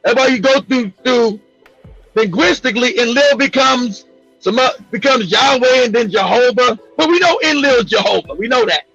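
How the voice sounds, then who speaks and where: A man hosting a casual talk show, speaking in a profane, excitable way and laughing often. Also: very high-pitched, normal speed, gravelly, slightly rough, dull audio, soft background music. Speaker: host.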